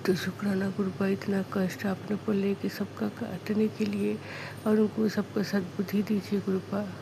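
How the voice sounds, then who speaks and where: A hoarse woman speaks an earnest devotional testimony in halting, repeated phrases of thanks. Her voice has a gravelly fry and stays flat in pitch. The home recording carries clear background noise. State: sore throat, hoarse.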